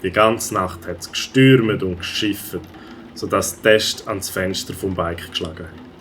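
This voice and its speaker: A man's voice: sits low, steady tone, a little slow, fairly bright tone, a little hiss or hum. A man with a Swiss German accent narrating a scripted children's audio story.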